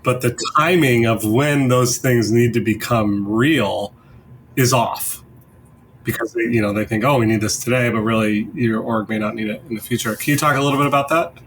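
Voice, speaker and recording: Male discussion host, medium pitch, conversational interviewer, slight background noise. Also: moderate pace, low-quality recording.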